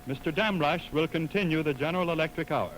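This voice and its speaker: A man reading formal, measured scripted announcements as a 1920s radio announcer. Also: on the high side, fairly bright tone. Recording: slightly noisy 1920s radio aircheck.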